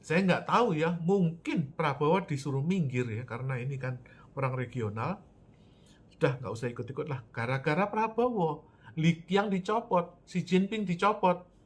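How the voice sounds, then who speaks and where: A man's voice: a little high, moderate pace. A man from Java, giving an emphatic lecture-style political talk, asking rhetorical questions and answering them himself. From Java, Indonesia.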